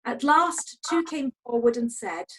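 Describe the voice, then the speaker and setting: A woman narrates a Bible passage as a scripted reading. Her voice is fairly high, with a husky edge. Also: animated, unhurried. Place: online video meeting from home.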